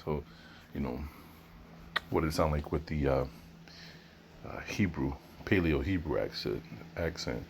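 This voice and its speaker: A man's voice: deep voice, slight background noise. A man hosting, commenting casually on a text being read aloud, musing and conversational. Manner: musing, conversational, with fillers and asides.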